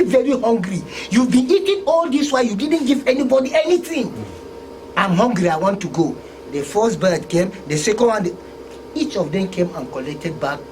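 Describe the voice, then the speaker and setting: Animated Nigerian woman storyteller, noisy room. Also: a little high. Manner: acts out the characters' speech. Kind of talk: animated oral folktale telling.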